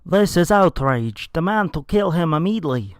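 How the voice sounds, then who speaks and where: A man's voice: a little high, animated, moderate pace, smooth, dry recording, studio quality, pitched above the performer's own voice, lightly acted. A man voicing an outraged, accusing male ape doctor in a story, crying out indignantly.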